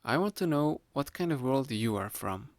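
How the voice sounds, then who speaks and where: A man playing a sly, playful young male student hypnotist in a conversational ASMR roleplay, speaking to the listener in a gravelly, teasing voice. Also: mid-range voice, lively intonation, moderate pace, dry recording, lightly acted. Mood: curious, amazed. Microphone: close studio-style microphone, dry.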